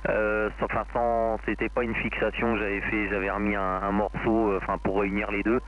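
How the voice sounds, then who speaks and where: A man with a fairly low voice chats over amateur radio. He rambles in a loose, hesitant way, often searching for the next word. The transmission is dull, with faint noise underneath. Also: level tone, fairly quick. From France. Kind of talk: casual radio chat. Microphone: amateur radio link.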